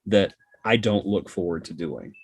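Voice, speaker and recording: A man's voice: low voice, animated, moderate pace, breathy edge, no echo. A man chatting casually as a podcast guest, with sarcastic, joking asides. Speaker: podcast guest, hobby content creator. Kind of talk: casual chat.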